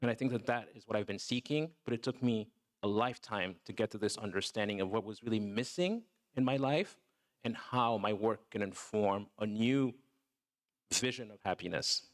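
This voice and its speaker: A man's voice: on the low side, normal speed. A man born in Togo, a film producer and guest on a panel, answering reflectively and often adding "you know" and "right". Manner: thoughtful, repeats words when starting, says "you know" and "right".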